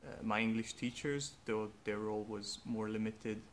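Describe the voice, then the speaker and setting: A young man, a native Hungarian speaker, speaking slowly and earnestly in a reflective personal monologue with faint background noise. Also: lowish voice, fairly even intonation. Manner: slow, thoughtful, earnest. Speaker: vlogger.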